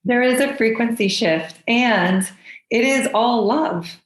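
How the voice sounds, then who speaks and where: A woman's voice: on the high side, takes it slowly, echoey room, poor audio. A woman from the United States, a guest channeler, chatting in an effusive and enthusiastic way. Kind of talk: casual interview chat.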